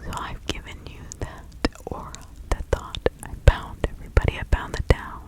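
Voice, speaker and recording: A woman voicing a kindly village witch healer in an ASMR roleplay, giving gentle counsel in a breathy whisper. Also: lightly acted. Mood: mystical, reassuring. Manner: gentle, unhurried, instructing.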